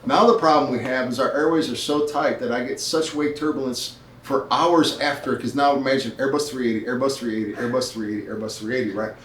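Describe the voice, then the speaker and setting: A man's voice: medium pitch, steady tone, quick pace, few pauses, a bit bright. An older man, a retired air traffic controller, talking animatedly in a group discussion, repeating phrases for emphasis and checking for agreement. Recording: roomy, distant mic, faint noise.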